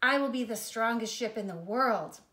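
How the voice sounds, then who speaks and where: An earnest little storybook tree, voiced by a woman, full of hope and ambition. The voice is high and proud, making dreamy declarations. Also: normal speed, sharp tone. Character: hopeful, ambitious little trees, young and earnest. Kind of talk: storybook character reading.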